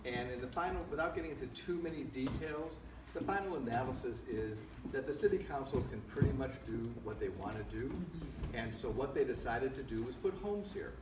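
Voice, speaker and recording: A man at a community meeting calmly explaining the background in a measured, explanatory way. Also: mid-range voice. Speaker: community member answering a question. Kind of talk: public comment. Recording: echoey hall, distant, noisy.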